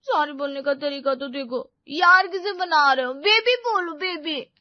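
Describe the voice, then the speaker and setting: A woman plays a doting, childish girlfriend in an audio romantic comedy. Her voice is high and swoops wildly through pouty, gushing baby talk. The recording is dry and a little dull. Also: expressive, unhurried, no echo. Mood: clingy, pouting. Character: clingy, childish, doting young girlfriend, easily hurt.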